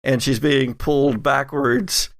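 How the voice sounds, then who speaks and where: A man's voice: medium pitch, fairly even intonation, slow pace, creaky, no room sound, studio quality. A man narrating a tabletop horror game as its game master.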